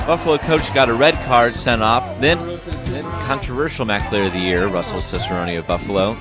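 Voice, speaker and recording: A young man doing casual, lively voice-over commentary on soccer highlights for a student sports show. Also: a little fast. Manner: lively, casual, laughs at his own slips. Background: music.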